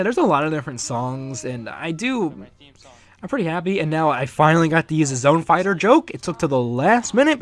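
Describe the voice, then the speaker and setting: A young man giving casual, rambling and enthusiastic behind-the-scenes commentary as the creator of a fan dub. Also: a little high. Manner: rambling, enthusiastic, chuckles often. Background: music under the voice.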